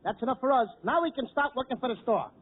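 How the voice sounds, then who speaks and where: A man wisecracking as a comedy sidekick in a 1940s radio show, quick and excitable. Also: very high voice. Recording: lo-fi 1940s radio broadcast with hiss.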